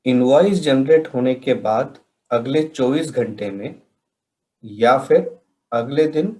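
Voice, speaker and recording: Slow-paced man explaining, tutorial style. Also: mid-range voice, darkish tone, poor audio. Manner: deliberate, with pauses between phrases. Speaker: presenter of a software training session. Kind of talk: explanatory tutorial, question and answer narration.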